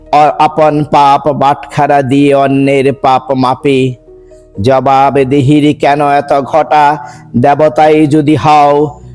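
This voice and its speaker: Guy reciting a poem real slow, pretty even tone, declamatory, music playing behind him. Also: medium pitch. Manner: measured, declamatory, rhythmic delivery. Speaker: poetry reciter. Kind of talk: dramatic recitation of a Bengali poem.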